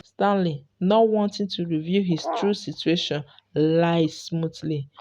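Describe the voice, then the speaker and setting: Female folktale narrator, somewhat low and mellow, reading scripted story over music. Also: takes it slowly, dull audio.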